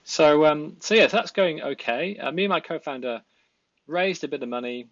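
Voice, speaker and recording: A man's voice: a little high, moderate pace, fairly bright tone, no room sound, muffled recording. A Welsh man in his thirties, a designer and part-time founder, talking casually about himself with lots of fillers and restarts. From Wales. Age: 30s. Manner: rambling, many fillers and restarts. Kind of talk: casual self-introduction vlog. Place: indoor home room at a desk.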